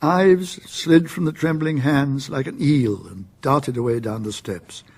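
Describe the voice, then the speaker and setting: A British man narrating a radio drama in a measured, literary storytelling manner. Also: mid-range voice, darkish tone, husky.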